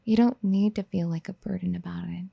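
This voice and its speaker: A woman playing a possessive, motherly yandere in a soft-spoken ASMR roleplay, gentle and coaxing, often slipping toward a breathy whisper. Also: lowish voice, fairly even intonation, brisk, no room sound, dull audio. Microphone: close studio mic.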